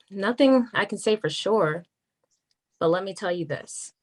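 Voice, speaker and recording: A woman playing a wary, frank government attorney in a naturalistic script read, measured and confiding. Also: mid-range voice, lively intonation. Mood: guarded, confiding.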